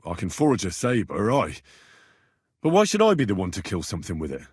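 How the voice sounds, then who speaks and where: An actor playing a blunt, plain-spoken young medieval adventurer in a video game, talking in an earthy, sweary, conversational way. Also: male voice, low-pitched, wildly swooping pitch, brisk, darkish tone, slightly rough, no room sound, studio quality, full character voice. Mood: earnest, matter-of-fact. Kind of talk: video game dialogue acting.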